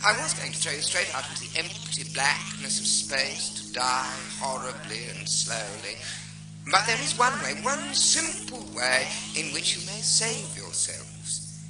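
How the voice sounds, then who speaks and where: A man's voice: on the high side, sing-song, loud music behind the voice, rough recording. A man playing a pompous, menacing alien captain in a radio comedy, declaiming theatrically. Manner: theatrical, bombastic, slow declaiming.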